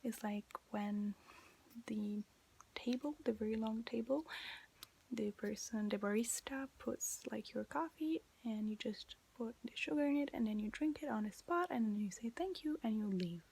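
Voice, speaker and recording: A woman with an Italian accent gives an informal, gentle language lesson in a breathy whisper, pausing as she explains. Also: a little high. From Italy. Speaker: language teacher.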